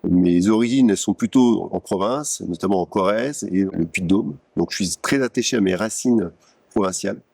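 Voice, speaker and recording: A man in his sixties from Paris, a company executive telling his career story in an interview, measured and pausing with many fillers. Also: low voice, creaky, darkish tone. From France (Paris). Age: 60s. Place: company showroom.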